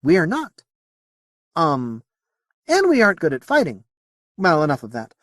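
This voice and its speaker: A man's voice: on the high side, huge pitch swings, moderate pace, fairly bright tone, no room sound, clean studio sound, voice pushed up. A man voicing a candid, playful female alien who mimics a young officer in a chatty, teasing way, for an audiobook. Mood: frank, wry.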